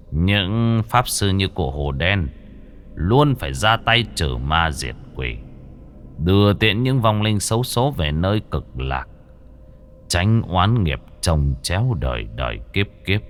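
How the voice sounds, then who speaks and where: A man voicing a kind, toothless old grandfather's ghost who speaks slowly and softly. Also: low voice, animated, soft background music. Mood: explaining. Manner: slow, soft and drawn out. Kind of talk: audio horror storytelling.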